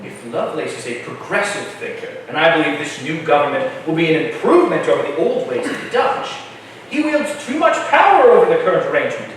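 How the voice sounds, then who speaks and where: Male actor as persuasive colonial schemer for stage musical: high, very animated, declamatory, heard from afar in an echoey room. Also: fairly quick, recorded from a distance, a little hiss or hum. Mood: urgent, persuasive. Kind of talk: staged musical reading, spoken and sung. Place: live staged reading in a large echoey room.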